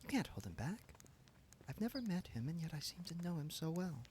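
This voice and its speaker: A man's voice: a little high, very animated, fairly quick, a little mellow, a little hiss or hum, voice pushed up. A man voicing an earnest, idealistic young woman who speaks in a lofty, lightly reproving way, read as an audiobook character.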